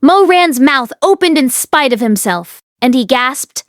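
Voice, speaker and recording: High-voiced woman narrating a story. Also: lively intonation, measured pace, dry recording, studio quality. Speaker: audiobook read-along narrator.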